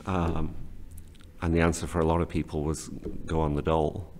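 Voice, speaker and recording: Low-voiced British man, halting speech. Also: level tone, darkish tone, a little hiss or hum. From Scotland, raised in northern England. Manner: hesitant, many fillers and restarts. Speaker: guest, teacher trainer. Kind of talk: casual interview. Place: small classroom office. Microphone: studio mic on desk stand.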